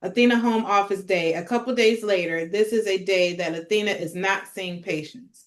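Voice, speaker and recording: A woman directing a table read and narrating its stage directions from a script. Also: mid-range voice.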